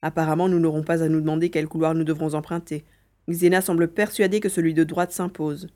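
A woman's voice: deep voice, flat delivery, brisk, warm tone, close to an everyday voice. A woman playing a determined, tender young searcher in a French audio drama adapted from a youth novel. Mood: calm, concerned. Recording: roomy echo with music underneath.

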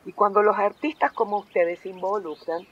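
An actress playing an earnest, determined activist in a radio drama, with an Argentine accent and emphatic delivery. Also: female voice, mid-range voice, fairly even intonation, brisk, husky, dull audio, natural voice. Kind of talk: radio theatre acting.

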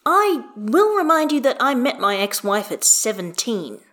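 A woman's voice: a little high, measured pace, pitched above the performer's own voice. Woman as a dry, long-suffering dad. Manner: wry, exasperated, flatly sarcastic. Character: dry, long-suffering father, a grown man.

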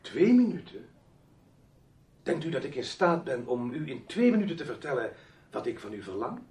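Sly, husky, creaky-voiced gentleman villain. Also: medium pitch, big pitch swings, full character voice. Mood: teasing, ominous. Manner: theatrical, drawn-out, teasing, courteous menace. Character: sly, menacing foreign gentleman lurking in the dark. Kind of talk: Dutch radio drama acting.

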